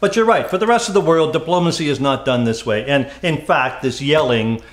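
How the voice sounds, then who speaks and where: A man's voice: on the high side, fairly quick. A man co-hosting a talk show with opinionated, informal commentary full of rhetorical questions, recorded with a roomy echo. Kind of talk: opinionated conversational political commentary.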